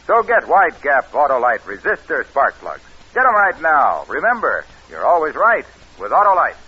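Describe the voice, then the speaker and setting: A man playing a blustery, boastful, husky yarn-spinning pitchman in an old radio commercial sketch. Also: wildly swooping pitch, moderate pace, exaggerated voice. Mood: emphatic selling. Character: blustery, boastful male yarn-spinner selling spark plugs. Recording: muffled old radio recording.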